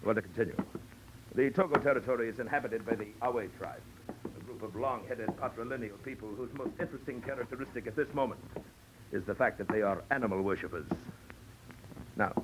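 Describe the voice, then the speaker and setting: An actor playing a pompous, suave big-game hunter villain with florid, mock-courteous speech, in 1940s radio drama style. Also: male voice, medium pitch, a little fast, natural voice. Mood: insistent. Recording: noisy old 1940s radio recording.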